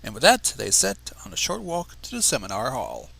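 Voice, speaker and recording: A man's voice: medium pitch, huge pitch swings, moderate pace, gravelly, bright, forceful tone, slight background noise. A man reading a fan fiction story aloud on a livestream and breaking off for mocking asides.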